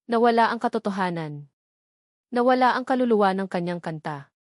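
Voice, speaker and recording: A woman's voice: medium pitch, animated, a little fast, no room sound, studio quality. A woman with a Filipino accent reading a scripted dramatic story as its narrator.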